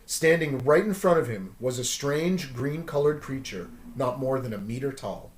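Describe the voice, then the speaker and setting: A man reading a story aloud as narrator, in an animated storytelling style. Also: medium pitch, normal speed, roomy sound.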